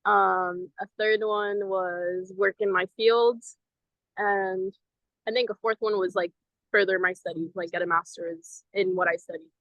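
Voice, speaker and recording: A woman's voice: mid-range voice, fairly even intonation, measured pace, no room sound, dull audio. A woman in her twenties from Venezuela, a guest chatting casually in an interview, often saying um and like. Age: 20s. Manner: chatty, friendly, many ums and likes. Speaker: guest, ministry program member. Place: outdoors in front of a cathedral.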